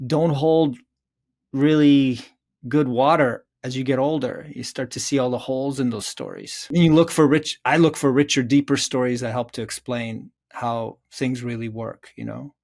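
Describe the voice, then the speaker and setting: Middle-aged man, mid-pitched, earnest, reflective, conversational, halting, piling up rhetorical questions. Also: fairly even intonation, darkish tone. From Czechoslovakia, moved to North America. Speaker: interview guest, psychotherapist and author.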